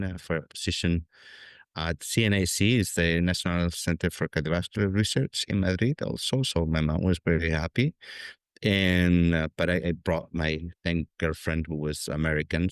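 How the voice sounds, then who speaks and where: A Spanish man in his fifties talks through his answers in a very low, resonant voice. He hesitates and restarts often with plenty of fillers. The recording is close and dry. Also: clear, resonant tone, dry recording. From Spain. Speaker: guest scientist. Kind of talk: conversational interview.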